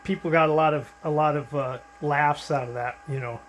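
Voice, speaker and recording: An older American man with a slightly high voice talks as a ham radio operator. He rambles along easily, with hesitant pauses and handovers to the other station. There is a faint noise behind him in the room. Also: fairly even intonation, fairly bright tone. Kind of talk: casual ham radio chat with callsigns and handovers. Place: indoors at his radio, filming it.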